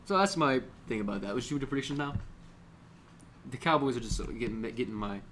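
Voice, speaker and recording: A man chats as a sports podcast co-host in loose, slangy banter, joking and mimicking the people he talks about. His voice sits at a middling pitch. There is noticeable noise in the background. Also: lively intonation, fast talker. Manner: slangy, jokey, mimics players and coaches.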